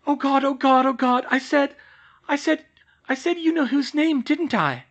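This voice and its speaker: A man's voice: high voice, big pitch swings, moderate pace, a bit bright, lo-fi sound, pitched above the performer's own voice, heavily acted. A man doing Hermione, an earnest young witch. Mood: distraught.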